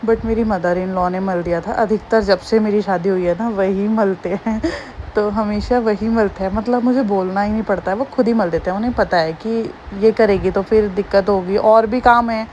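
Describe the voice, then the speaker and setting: Woman in her thirties, a bit hoarse, chatty and homely, casual daily vlog narration. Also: mid-range voice, fairly even intonation, normal speed, darkish tone, highs cut off, a little hiss or hum. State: hoarse, slightly sore throat. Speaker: vlogger.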